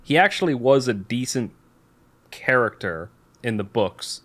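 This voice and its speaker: A man's voice: mid-range voice, animated, unhurried, a bit bright. A man co-hosting a podcast in casual chat, blunt and opinionated in how he talks. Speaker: podcast co-host.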